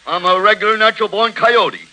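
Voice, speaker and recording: A man's voice: sits high, fairly quick, bright, forceful tone, a little hiss or hum, rough recording. A man playing a brash, childlike wild cowboy raised by coyotes, with folksy talk, in a 1940s radio western. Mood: boastful. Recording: vintage 1940s radio recording.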